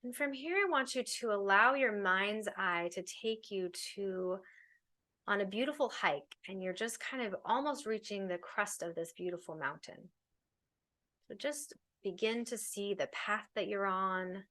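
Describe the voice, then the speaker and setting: A woman teaches a guided meditation in a medium-pitched voice. She gives her instructions in a soothing, unhurried manner. She leaves space between phrases as she leads the listener along. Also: sharp tone.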